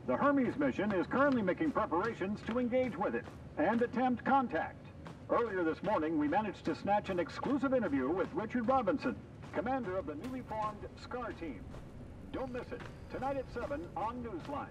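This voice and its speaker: An actor playing a formal television news reporter reading a scripted bulletin, heard through a small speaker in a game. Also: male voice, high-pitched, expressive, a little fast. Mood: serious. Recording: dull, distant, noisy broadcast playback.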